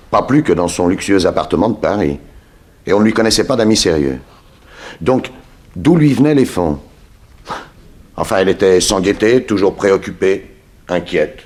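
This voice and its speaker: A man's voice: low-pitched, darkish tone, husky. A man playing a cool, self-assured private detective in a 1960s French radio drama, with a dry, authoritative manner.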